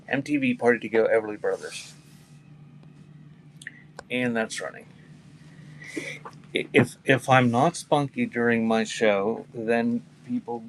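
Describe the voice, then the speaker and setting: A man's voice: on the low side, low-quality recording. A man hosting a casual live sale, rambling and joking wryly with the chat between reading out items. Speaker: live auction seller, show host. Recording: clear background noise.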